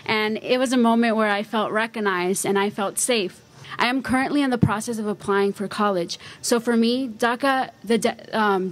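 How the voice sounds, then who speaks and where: A seventeen-year-old young woman, giving prepared personal testimony as a student activist. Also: fairly high pitch. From born in El Salvador, raised in United States.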